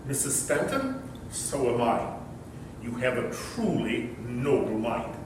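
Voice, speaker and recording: A man's voice: big pitch swings, slow pace. A man playing a pompous, self-righteous, miserly old uncle in a staged play reading, with emphatic oratorical delivery. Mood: sardonic. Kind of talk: staged readers theater performance. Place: echoey room, distant mic. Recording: echoey hall from far off, rumbling noise.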